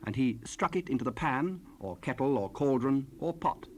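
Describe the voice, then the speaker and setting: A man narrating scripture in a solemn, measured, formal style for an audio drama. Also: mid-range voice, lively intonation, a little mellow, slight background noise.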